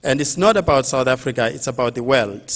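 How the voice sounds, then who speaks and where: A man's voice: medium pitch, brisk, in a large hall. A man hosting a campus health event, speaking to a crowd and calling people to come forward. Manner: animated, calls the crowd to join in. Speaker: event host. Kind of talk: public address at an outdoor event.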